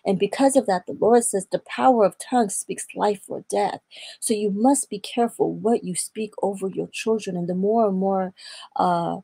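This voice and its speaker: A young woman from Atlanta, Georgia, giving an earnest personal testimony in a faith interview, quoting scripture and pausing on fillers. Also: mid-range voice, creaky, mellow, slightly rough, no echo. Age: young adult. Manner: earnest, preaching tone with scripture quotes. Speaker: guest.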